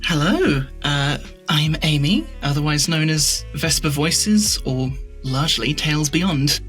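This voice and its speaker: A woman's voice: sits low, expressive, unhurried, a bit bright, faint music behind. A woman giving a casual interview as a podcast guest, candid and wry in her way of talking. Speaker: podcast guest, voice actor and audio drama creator.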